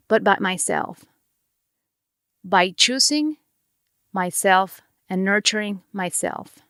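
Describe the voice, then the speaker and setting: A woman's voice: medium pitch, a bit bright, no echo. Middle-aged woman, native Spanish speaker, Mexican accent, sincere motivational podcast host, dry close mic. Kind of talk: reflective motivational monologue.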